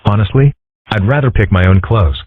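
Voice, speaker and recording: Man voicing a young cartoon fox, deep husky tone, dry, laid-back, geeky, comic dub. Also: normal speed, dry recording, close to an everyday voice. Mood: wry. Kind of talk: amateur comic strip dub. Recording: muffled, phone-like lo-fi audio.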